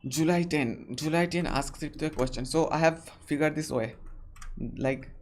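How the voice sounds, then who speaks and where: A man in his early twenties from West Bengal with a Bengali Indian accent, answering viewer questions casually and deliberately, often repeating his phrases. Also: fairly high pitch, darkish tone, a little hiss or hum. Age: early 20s. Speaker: YouTuber hosting a Q&A live stream.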